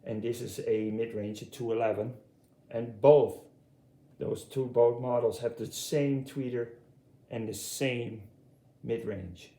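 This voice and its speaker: A middle-aged man from Europe giving a casual, unscripted walkthrough as a hobbyist, speaking haltingly and repeating words. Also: mid-range voice, steady tone, dark tone. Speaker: audio hobbyist presenting his speaker collection. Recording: echoey room sound.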